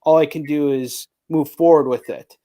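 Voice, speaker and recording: A man's voice: on the high side, fairly even intonation, normal speed, warm tone. A man hosting a casual live interview, talking in an informal, slangy way with lots of "like" and "you know.". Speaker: host of a live chat show. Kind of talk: casual video chat interview.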